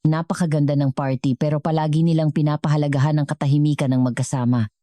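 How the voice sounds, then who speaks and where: A woman narrates a scripted story in a very low-pitched voice. Her tone is soft and dark, and the sound is dry and close, with little room on it. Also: fairly even intonation, quick pace, no echo.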